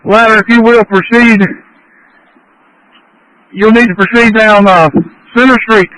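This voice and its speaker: High-pitched man on emergency radio. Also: steady tone, a little slow, fairly bright tone. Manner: terse, directive. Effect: two-way radio. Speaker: fire incident commander. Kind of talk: emergency radio dispatch traffic. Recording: crackly fire department radio transmission.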